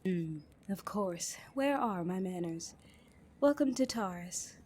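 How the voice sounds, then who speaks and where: A woman's voice: medium pitch, expressive, takes it slowly, a little mellow, breathy edge. A woman playing a loyal, guarded royal knight in a fantasy audio drama, speaking formally and with composure. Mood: cool formal politeness. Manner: formal, composed, coolly defiant. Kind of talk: fantasy audio roleplay acting.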